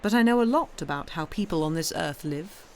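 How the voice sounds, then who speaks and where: A woman voicing a young woman in an audiobook, frank and guarded, gently teasing, with a hesitant delivery. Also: low voice, expressive, a little fast, slight background noise, pitched below the performer's own voice. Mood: amused, frank. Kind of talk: dramatised audiobook reading.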